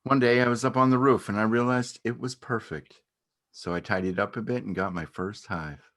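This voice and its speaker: Low-pitched male actor as a shy beekeeper; halting, understated, earnest stage dialogue. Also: fairly bright tone, close to an everyday voice. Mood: matter-of-fact storytelling. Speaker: amateur or local theatre actor. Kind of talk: naturalistic dramatic reading of a stage dialogue.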